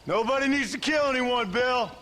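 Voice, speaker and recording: An actor playing an earnest, weary former outlaw in a Western video game, plain-spoken and polite, with an American accent. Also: male voice, very high voice, normal speed, a bit bright, in a large hall, a little hiss or hum. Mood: pleading. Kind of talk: dramatic video game cutscene acting.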